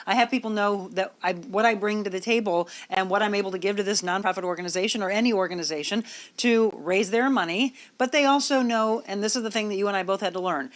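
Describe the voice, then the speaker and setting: A woman hosting a conversational interview show, talking at length in an effusive, affirming way. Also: medium pitch. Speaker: talk show host.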